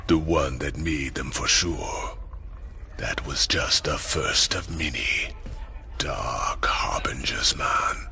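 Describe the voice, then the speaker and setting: A man playing an eerie, ominous seer in a video game, with a folksy drawl and a gravelly, whispery voice. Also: very deep voice, takes it slowly, sharp tone, faint music behind, full character voice. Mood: knowing, ominous. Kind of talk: dramatic video game voice acting.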